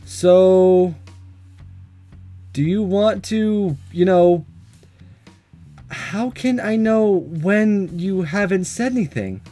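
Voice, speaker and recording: A man voicing a flustered, awkward, reluctant high school boy from a visual novel, protesting as he talks. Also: very slow, pauses often, dark tone. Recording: music under the voice.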